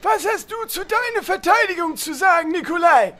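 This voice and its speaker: An actor playing a pompous, bossy guild leader with a squeaky voice in a comedy audio drama. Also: expressive, pushed and bright, full character voice. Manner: bossy, deliberate.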